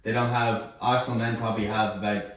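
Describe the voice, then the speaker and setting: A man with a fairly low voice makes his case in a casual panel discussion. His intonation is flat. The sound is heavily echoey, as from a big bare room. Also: fast. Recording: very echoey room, distant mic.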